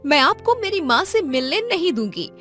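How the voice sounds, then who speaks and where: A woman's voice: very high voice, very animated, moderate pace, sharp tone, soft background music, voice pushed up, exaggerated voice. A woman voicing a stern grown daughter in a moral story, speaking in a cold, firm, scolding manner. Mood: cold scorn. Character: stern grown daughter rejecting her bad father.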